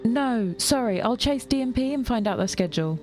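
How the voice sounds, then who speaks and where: A woman's voice: on the high side, lively intonation, a little fast, a little mellow, soft background music, pitched above the performer's own voice, natural voice. A woman playing a flustered, exasperated younger sister who works in an office, rambling and apologetic, in an audio drama.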